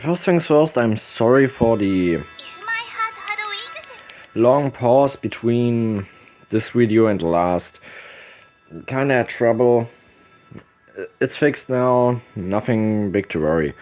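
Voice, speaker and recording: A man with a German accent talks slowly through a casual let's play, reading game text aloud. He stumbles over words and corrects himself. The recording is dull and lo-fi, with music playing behind him. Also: mid-range voice. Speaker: gaming video host.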